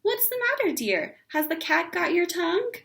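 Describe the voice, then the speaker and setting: A woman's voice: very high voice, very animated, gravelly, a bit bright, voice pushed up, heavily acted. A woman voicing a gushing, nosy neighbor lady in a storybook, exclaiming and drawing out her words as she talks down to a child. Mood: gushing surprise. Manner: exclaiming, drawn-out, sugary.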